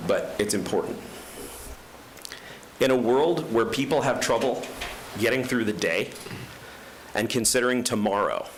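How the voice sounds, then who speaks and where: A man's voice: mid-range voice, slight background noise, rough recording. A man giving an earnest, prepared public comment at a county meeting. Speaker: resident speaking at public comment. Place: county commission chamber.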